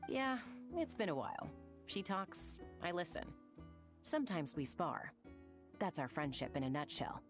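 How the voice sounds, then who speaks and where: A woman's voice: fairly low pitch, sing-song, measured pace. A woman voicing a cool, laid-back young warrior woman in a video game, laconic and wry. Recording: music behind.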